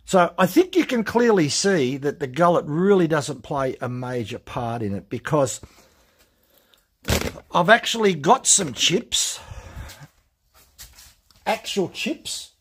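A man explaining things step by step in a relaxed, plain-spoken way during a workshop demonstration. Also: a little high, takes it slowly, long pauses, husky. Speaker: chainsaw channel host. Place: workshop bench.

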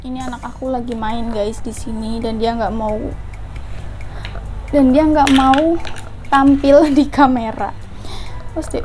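A woman's voice: sits high, steady tone, unhurried, long pauses, noisy background. A woman in her twenties from Central Java, chatting casually with her viewers and answering their questions on a clip-on mic at home. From Central Java, Indonesia. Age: 20s. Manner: chatty, often tags lines with "guys". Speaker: mukbang vlogger. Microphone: clip-on lapel mic.

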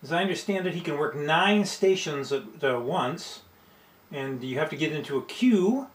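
A man in his sixties with an American accent casually narrates an amateur radio demonstration in a halting, unscripted way. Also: a little high, lively intonation. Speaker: amateur radio operator and video host. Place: small home radio room, indoors. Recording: roomy, loud background radio noise. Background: loud radio hiss and signal tones.

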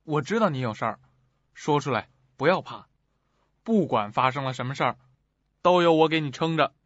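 Actor as animated, coaxing male manager. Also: fairly high pitch, moderate pace, dry recording. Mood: reassuring. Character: steady, reassuring male theatre manager. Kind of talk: suspense radio drama acting. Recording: dull, dry audio.

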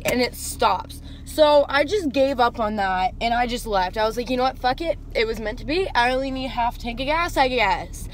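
A young woman with an American accent chatting casually and rambling in a sassy, foul-mouthed way while driving, recorded inside a moving car. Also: high voice. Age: young adult. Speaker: vlogger. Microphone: camera on the dashboard, arm's length away. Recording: noisy car interior, road noise.

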